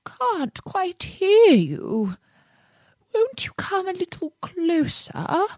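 Woman voicing a slow, feeble-sounding sly fox, high-pitched. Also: huge pitch swings, dry recording, clean studio sound, exaggerated voice. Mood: feebly coaxing. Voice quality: sharp, then feeble and drawn-out when feigning. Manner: coaxing, slow. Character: sly fox feigning feeble deafness, then snapping.

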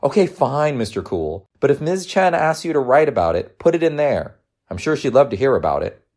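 Storybook mom acted by a male narrator: affectionate, teasing, encouraging, pitched up with very animated swings and some gravel. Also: fairly quick. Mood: reassuring. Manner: coaxing, affectionate, reassuring. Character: gentle, teasing, encouraging working mother.

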